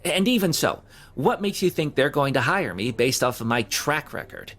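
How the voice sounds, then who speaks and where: A man's voice: a little high, big pitch swings, normal speed, fairly bright tone. An actor playing an anxious, down-on-his-luck young man who stammers and hesitates in an audio drama. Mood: insistent.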